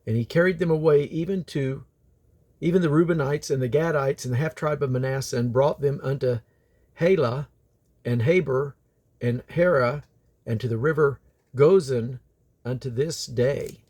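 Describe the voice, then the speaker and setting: A man reading the Bible aloud in a solemn, deliberate, phrase-by-phrase delivery. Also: mid-range voice, a little mellow. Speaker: scripture reader.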